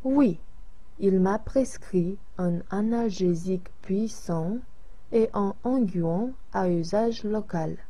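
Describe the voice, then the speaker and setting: A woman's voice: medium pitch, slow, mellow, husky. A woman reading a tired young woman with a sprained ankle. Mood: weary.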